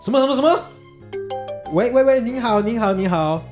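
A woman's voice: a little high, fairly quick, music in the background, close to an everyday voice. A woman with a Taiwanese accent playing a flustered young male guesthouse owner in a comedic audio drama. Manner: exasperated, excitable.

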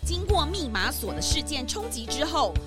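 A woman narrating a children's story with an expressive storytelling cadence. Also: sits high, moderate pace, over music.

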